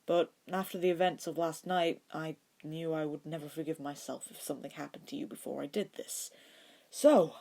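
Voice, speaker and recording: A woman's voice: low voice, normal speed, creaky, warm tone. A woman voicing a wry, composed, tender man in an acted fan fiction reading. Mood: earnest, nervous.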